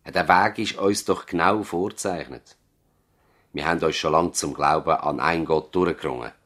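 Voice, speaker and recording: An actor with a Swiss German accent playing a dignified, idealistic family doctor in a 1970s radio play, solemn and deliberate. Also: male voice, rumbling low, fairly bright tone. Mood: solemn, fervent.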